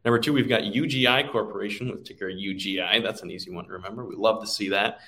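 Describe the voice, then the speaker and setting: Guy with a lowish voice doing chatty stock market commentary, co-host type. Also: fast, a bit bright.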